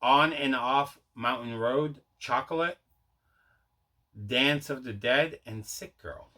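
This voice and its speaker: A man with a bright, forceful voice presents a collecting show in a casual, chatty way. He sounds enthusiastic and circles back over his own phrases. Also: medium pitch, takes it slowly. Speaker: host of a collecting video show. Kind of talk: casual unboxing vlog chat.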